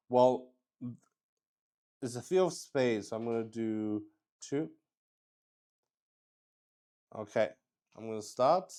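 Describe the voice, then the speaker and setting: A man improvising narration for a solo tabletop roleplaying game as its host, halting as he thinks aloud. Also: lowish voice, darkish tone.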